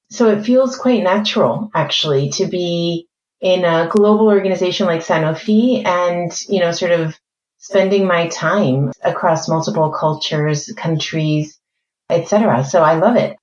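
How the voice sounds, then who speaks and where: A woman born in France and raised mainly in Spain, with a mixed accent shaped by American and British English, speaking as a guest in a podcast interview in a measured, articulate way. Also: fairly low pitch, darkish tone, roomy sound, muffled recording. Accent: mixed French, Spanish, American and British. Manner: measured, articulate, thinks aloud with fillers. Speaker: corporate learning executive, guest.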